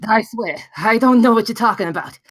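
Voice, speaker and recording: Woman playing jumpy, protesting gamer dad, high voice. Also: lively intonation, a little fast, fairly bright tone, no echo. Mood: scared, pleading. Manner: pleading, exasperated, protesting. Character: frightened, defensive gamer dad Benny, indignant man. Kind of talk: comedy screenplay table read.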